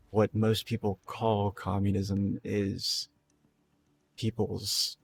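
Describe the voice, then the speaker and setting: A tired, hoarse man streaming a game, rambling in loose casual talk and swearing freely. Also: fairly low pitch. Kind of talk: casual live-stream chat.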